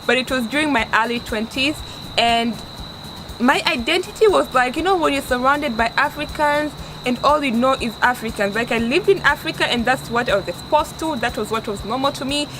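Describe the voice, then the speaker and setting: A woman's voice: high voice, fairly quick. A woman in her twenties from Tanzania, with a Tanzanian accent, giving earnest life advice in a casual vlog and often restarting her sentences. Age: 20s. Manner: earnest, restarts often, stresses words for emphasis. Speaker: vlogger. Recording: steady background noise.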